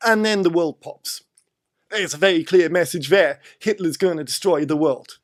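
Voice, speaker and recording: A British man with a fairly high voice discusses film casually. He explains his points step by step, leaning on repeated words for emphasis. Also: moderate pace. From Britain.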